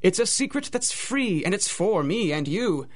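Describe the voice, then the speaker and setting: A man's voice: high voice, big pitch swings, normal speed. A man narrating a radio drama in theatrical, sing-song rhyming storybook verse.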